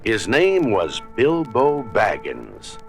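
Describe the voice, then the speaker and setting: A man narrating a scripted children's story in a dramatic, deliberate storybook style. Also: fairly low pitch, huge pitch swings, gravelly, over music.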